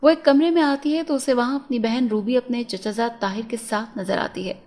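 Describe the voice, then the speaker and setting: A woman's voice: a little high, steady tone, brisk, a little mellow. A woman storyteller reading a scripted fairy tale for children as a narrator.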